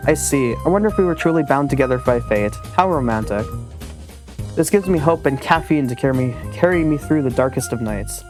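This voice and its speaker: A man's voice: mid-range voice, lively intonation, a little fast, music in the background, lightly acted. A man voicing a suave, mysterious male pigeon student in a visual novel, in a theatrical way with French flourishes. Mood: gallant. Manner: theatrical, sprinkles in French phrases. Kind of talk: visual novel read aloud.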